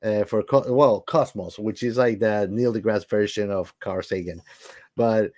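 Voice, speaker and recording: A man's voice: lowish voice, steady tone, unhurried, a little mellow, no room sound, clean studio sound. A man co-hosting a podcast in casual chat, enthusiastic and repeating words for emphasis. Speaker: podcast co-host.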